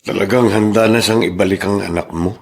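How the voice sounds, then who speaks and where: An actor playing a concerned, doubtful confidant in a melodrama, speaking in a measured, serious way. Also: male voice, on the low side, fairly even intonation, darkish tone, close to an everyday voice. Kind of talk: Filipino melodrama acting.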